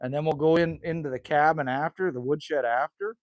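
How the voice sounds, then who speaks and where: A man's voice: on the high side, steady tone, a little fast, no echo. A man, chatting casually and rambling with viewers as a livestream host outdoors by a rural cabin. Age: 50s. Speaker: livestream host reading chat.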